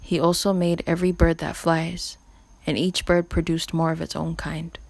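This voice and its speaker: A woman's voice: low voice, little pitch movement, moderate pace, creaky, a little mellow, dry recording. A woman reading scripture as scripted narration. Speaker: volunteer scripture reader.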